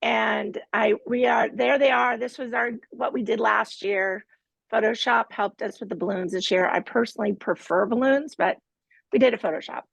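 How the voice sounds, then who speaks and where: A woman's voice: a little high, fairly even intonation, moderate pace, gravelly, breathy edge, no room sound, poor audio. A woman hosting a live online master class from her home studio, with a playful, teasing manner. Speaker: host and team leader.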